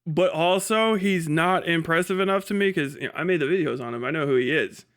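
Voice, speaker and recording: A man with a fairly high, clear voice hosts a livestream in loose, chatty fashion. His delivery is bright and forward, peppered with swearing and dry, dismissive sarcasm. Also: brisk. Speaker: livestream host. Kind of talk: casual livestream chat.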